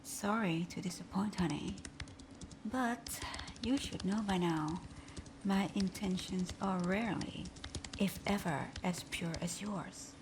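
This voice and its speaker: A woman playing a supervillain thief whose teasing bravado hides a timid, wounded heart, in a breathy, often whispered, intimate ASMR roleplay style. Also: medium pitch, takes it slowly, noisy background. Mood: smug, teasing. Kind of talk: ASMR roleplay audio drama.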